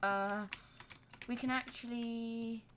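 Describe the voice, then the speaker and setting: A woman's voice: on the high side, fairly even intonation, slow, fairly bright tone, a little hiss or hum. A young woman giving casual, self-deprecating commentary while building in a game, with rambling joking asides. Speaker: gaming channel host.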